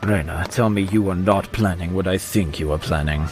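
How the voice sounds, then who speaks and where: An actor playing a devoted, worried confidant in an audio drama, formal, earnest and pleading. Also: male voice, deep voice, moderate pace, soft background music, natural voice.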